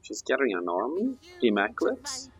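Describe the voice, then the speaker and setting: A man's voice: medium pitch, wildly swooping pitch, brisk, fairly bright tone, slightly rough. A man chatting casually during a co-op game playthrough, musing aloud in a tentative way. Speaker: gaming video player.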